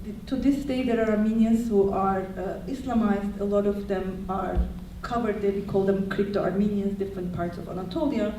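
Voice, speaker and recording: A woman from Turkey whose first language is Turkish, explaining history on a university panel in a measured way, with frequent fillers. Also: mid-range voice, steady tone, dark tone, a little hiss or hum. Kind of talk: academic panel talk. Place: university lecture hall. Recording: echoey hall, distant mic.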